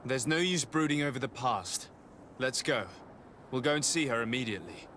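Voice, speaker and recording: An actor playing a diplomatic, measured and formal nobleman in a video game. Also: medium pitch, a bit bright, close to an everyday voice. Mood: calm, decided. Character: composed, diplomatic young noble envoy. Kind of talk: dramatic video game dialogue. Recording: faint outdoor ambient noise behind.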